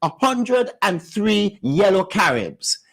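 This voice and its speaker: A man's voice: high-pitched, very animated, slow pace, fairly bright tone. Insistent man arguing on radio. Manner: insistent, interrupting, lecturing. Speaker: radio co-host. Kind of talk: heated radio discussion. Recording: radio studio.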